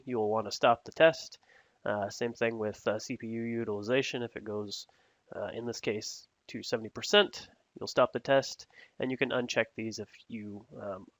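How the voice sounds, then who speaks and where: A man giving a step-by-step software tutorial over a screen recording, steady and explanatory, with frequent "uh" fillers. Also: mid-range voice, no room sound. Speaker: product technologist giving a software demo.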